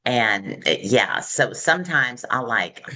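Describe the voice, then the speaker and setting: An older American woman speaks as a podcast guest in a clear-cut, forceful tone. Her pace is unhurried and slightly slow. She shares reflective personal stories candidly, slipping into quoted dialogue as she goes. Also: very deep voice, animated. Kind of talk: reflective personal storytelling in conversation.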